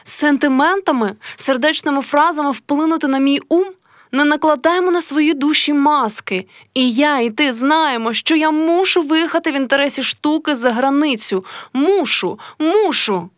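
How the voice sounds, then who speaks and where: A woman's voice: high-pitched, animated, normal speed, no room sound, studio quality, pitched above the performer's own voice. A woman voicing a passionate, proud young female artist with an intense, dramatic delivery, as in an audiobook story. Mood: agitated, insistent.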